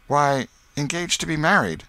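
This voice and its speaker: A man's voice: a little high, animated, moderate pace, bright, forceful tone, no echo, voice pushed up, poor audio. A man voicing an eager, boastful young schoolboy in a storybook, with a folksy, insistent way of talking. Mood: coaxing.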